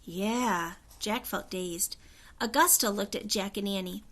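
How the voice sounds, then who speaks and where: A woman reading a children's story aloud, in an expressive narrating style. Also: mid-range voice, moderate pace. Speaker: storybook reader.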